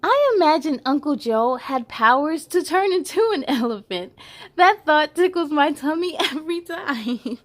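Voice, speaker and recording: High-voiced woman reading a children's story. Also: a little slow. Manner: playful, giggly, animated storytelling delivery. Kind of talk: expressive read-aloud for children with chatty asides.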